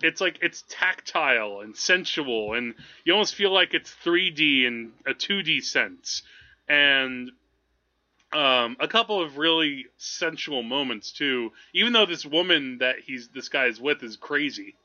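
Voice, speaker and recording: A man with a very bright, sharp and slightly high voice talks through films in casual podcast style. He rambles and halts, working out his thoughts as he goes. The audio is a little dull and lo-fi. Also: lively intonation. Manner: rambling, halting, thinking aloud. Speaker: film podcast host. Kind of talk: casual movie discussion.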